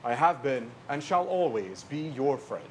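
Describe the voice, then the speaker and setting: A man playing a formal, logical starship officer with deadpan delivery in a staged parody. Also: medium pitch, unhurried. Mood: formal, grave. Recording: live stage recording with clear noise.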